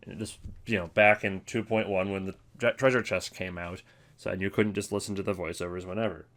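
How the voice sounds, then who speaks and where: A man's voice: low-pitched, fairly even intonation, a little fast, a bit bright. A man giving casual, opinionated commentary as the host of a ranking video.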